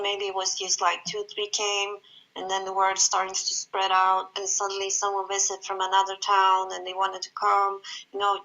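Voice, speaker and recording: A woman from Sweden, speaking English as a guest in a casual interview and pausing often on fillers. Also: medium pitch, bright, forceful tone, poor audio. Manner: hesitant, many fillers, encouraging. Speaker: guest, jiu-jitsu event organizer.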